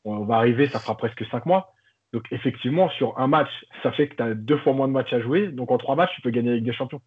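A man on a football podcast panel giving a relaxed, joking opinion, thinking aloud as he goes. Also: mid-range voice, fast talker, husky. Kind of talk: casual opinion chat. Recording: tinny phone-like line.

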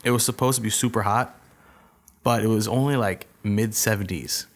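Low-voiced laid-back podcast co-host man. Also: fairly even intonation, moderate pace. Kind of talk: casual podcast chat.

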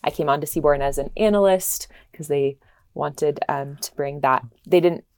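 A woman, a sustainability consultant, explaining her career thoughtfully with frequent pauses. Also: sits low. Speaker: sustainability consultant, studio co-lead. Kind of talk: casual interview answers.

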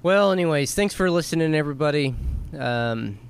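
A man co-hosting a podcast, chatting casually and rambling, his voice going hoarse. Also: fairly high pitch, lively intonation, brisk. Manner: rambling, laughing, trailing off. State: losing his voice. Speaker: podcast co-host. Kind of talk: casual chat.

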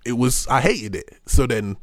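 A man's voice: mid-range voice, moderate pace, no echo, studio quality. A young man from the UK, chatting casually and laughing often through slangy stories. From United Kingdom. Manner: laughs a lot, animated slang-heavy storytelling. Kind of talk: casual podcast chat.

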